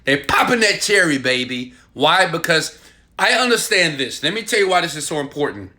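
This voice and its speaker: A man giving an emphatic, insistent motivational sales pitch, speaking straight to the viewer as an online marketer. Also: big pitch swings, normal speed, bright, forceful tone.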